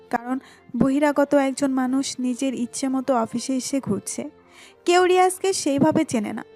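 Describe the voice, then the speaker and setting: A woman's voice: high voice, darkish tone. A woman narrating a scripted romantic audio story as its storyteller.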